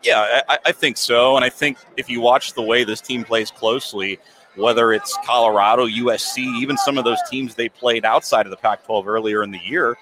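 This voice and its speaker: A man with a mid-range voice talks through a game on a sports podcast. He is measured and thoughtful, often restarting his sentences. The tone is casual. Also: medium pitch, crowd noise, low-quality recording. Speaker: podcast co-host, sports analyst.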